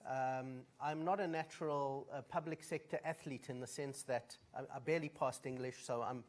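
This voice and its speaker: A South African man speaking from a conference stage in a direct, plain-spoken way. His pitch sits in an ordinary middle range, and he often stops on an um or uh before going on. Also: steady tone, moderate pace. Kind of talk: opening remarks at a conference.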